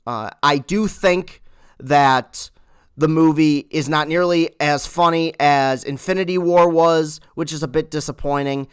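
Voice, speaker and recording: A man reviewing a movie in casual, earnest talk, halting and repeating words as he goes. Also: fairly high pitch, smooth, no room sound, clean studio sound. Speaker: film reviewer.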